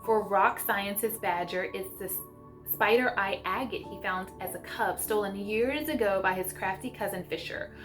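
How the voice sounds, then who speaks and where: A woman's voice: mid-range voice, moderate pace, fairly bright tone. A woman vlogging as a homeschool mom, talking casually and reading aloud in an enthusiastic, chatty way. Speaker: homeschool mom sharing book picks. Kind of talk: casual vlog chat with read-aloud passages. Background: music.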